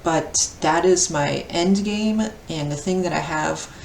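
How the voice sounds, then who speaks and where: A woman's voice: deep voice, slow, gravelly. A woman aged fifty talking casually as a vlogger about her own running. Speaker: vlogger and amateur ultra runner. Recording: echoey, distant mic, faint noise.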